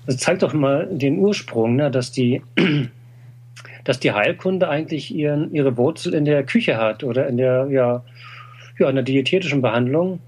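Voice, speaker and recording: A man's voice: mid-range voice, moderate pace, a little mellow, husky. A middle-aged man from Germany answers interview questions at an easy, explanatory pace. He pads his sentences with hesitations and tag questions, building his points step by step. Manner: explanatory, frequent "äh" and "ne?". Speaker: TCM practitioner. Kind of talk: conversational interview.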